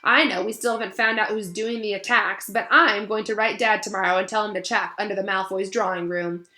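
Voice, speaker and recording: A woman voicing an earnest, excitable teenage schoolboy in a storybook, urgent and well-meaning. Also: medium pitch, brisk, echoey room, lightly acted. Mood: eager.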